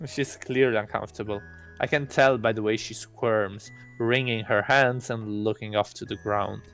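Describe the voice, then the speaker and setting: A man's voice: on the low side, fairly even intonation, normal speed, a bit bright, faint music behind. A man voicing an arrogant god posing as an exchange student, pompous and disdainful, in a visual novel. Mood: brooding. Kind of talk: visual novel read-aloud.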